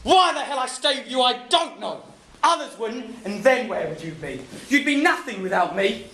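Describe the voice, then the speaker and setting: An actor playing a bullying, contemptuous husband who taunts his wife and breaks into shouting. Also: very high voice, expressive, normal speed, a little hiss or hum, exaggerated voice. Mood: furious. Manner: sneering, taunting, explodes into shouting. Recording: roomy echo.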